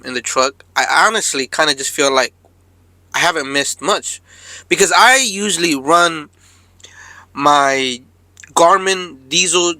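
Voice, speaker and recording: A man's voice: a little high, pushed and bright, dry recording. A man talking casually to viewers as a truck driver vlogger, in a laid-back, rambling, slangy way. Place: cab of a parked truck.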